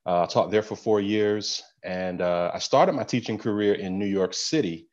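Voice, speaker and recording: Low-voiced teacher on a dull line. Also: male voice, normal speed. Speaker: guest teacher. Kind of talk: podcast guest interview. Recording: dull lo-fi sound.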